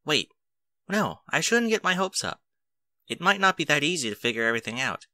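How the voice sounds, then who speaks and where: Bookish young unicorn mare played by a man, precise and earnest, lifted pitch, dry close sound. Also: very animated, brisk, bright, forceful tone, dry recording, exaggerated voice. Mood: anxious, hopeful.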